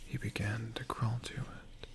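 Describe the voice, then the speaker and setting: A storyteller whispering a tale for ASMR in a hushed, breathy voice with slow, dramatic pauses. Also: medium pitch, little pitch movement. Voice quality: breathy whisper with creaky edges.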